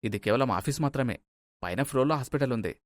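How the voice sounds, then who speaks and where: A man voicing a calm, reassuring undercover doctor in story dialogue. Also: medium pitch, fast talker, no room sound, studio quality, close to an everyday voice. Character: calm, reassuring male agent posing as doctor.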